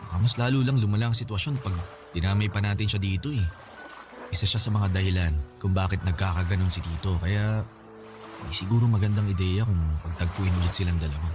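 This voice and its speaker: Quick, low-voiced Filipino son, acted by a man. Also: fairly even intonation, close to an everyday voice. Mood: earnest. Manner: earnest, reasoning, respectful. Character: concerned, level-headed grown son advising his mother. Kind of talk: Tagalog radio drama acting. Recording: music behind the voice.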